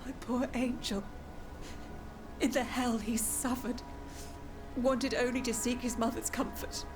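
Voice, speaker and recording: A woman's voice: a little high, level tone, a little slow, lightly acted, poor audio. An actress playing a grieving, vengeful queen in a video game cutscene, theatrical and imperious. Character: grieving, vengeful queen mourning her young son. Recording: dramatic music behind the voice. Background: music.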